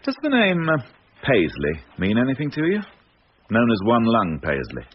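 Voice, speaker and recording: An actor playing a stern but caring police inspector in a radio drama, with a clipped, questioning, authoritative manner. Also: male voice, medium pitch, wildly swooping pitch, moderate pace, slightly rough. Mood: probing, firm. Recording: dull lo-fi radio audio, faint noise.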